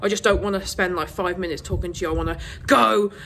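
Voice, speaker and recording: A woman with a croaky voice from a cold, chatting casually and rambling through a travel vlog with frequent ums. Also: fairly low pitch, steady tone, fast, fairly bright tone, slight background noise. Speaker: travel vlogger.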